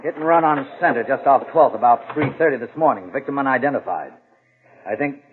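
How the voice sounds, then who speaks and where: An actor in a 1950s radio drama playing a stern, brisk police captain, husky and authoritative. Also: male voice, mid-range voice, slight background noise, lightly acted. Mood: businesslike. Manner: authoritative, deliberate. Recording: narrow, tinny old radio recording.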